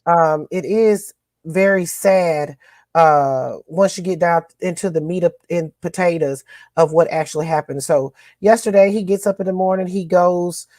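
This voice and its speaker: A woman's voice: on the low side, moderate pace, warm tone, no room sound, clean studio sound. A woman co-hosting a podcast, recapping news in a chatty, folksy way with lots of fillers. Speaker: co-host.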